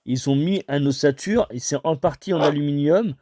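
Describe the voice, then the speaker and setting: A man rambling hesitantly, mellow and dry. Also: on the high side, normal speed, dry recording. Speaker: homeowner taking a cold call. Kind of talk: casual improvised phone conversation.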